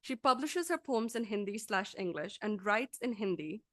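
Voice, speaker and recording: A woman lecturer reading a scripted academic talk aloud in a formal, measured, articulate manner. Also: medium pitch, animated, fairly bright tone, no echo, studio quality.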